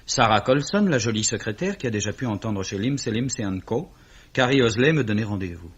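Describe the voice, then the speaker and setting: A man's voice: on the low side, expressive, brisk, slightly rough. An actor playing a distraught, impassioned young man with emphatic, theatrical delivery in a 1950s-style French radio drama. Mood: agitated, indignant. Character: distraught young Englishman accusing gang of crooks, impassioned. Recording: dull vintage radio recording.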